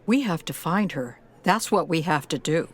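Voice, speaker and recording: An actress playing a protective, troubled, tough-minded granddaughter in an audio drama, earnest in manner. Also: female voice, low voice, lively intonation, moderate pace. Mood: urgent, determined.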